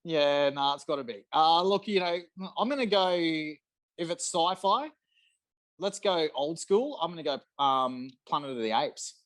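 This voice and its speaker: A man's voice: a little high, lively intonation, a little slow, fairly bright tone. A man chatting and bantering casually as a podcast guest on a video call. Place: indoor room at home, on a video call.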